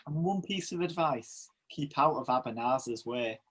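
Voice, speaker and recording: A man playing an obliging pantomime genie, declaiming in rhyme with a husky voice. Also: mid-range voice, expressive, normal speed, a bit bright. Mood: worried. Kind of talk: rhyming amateur pantomime acting.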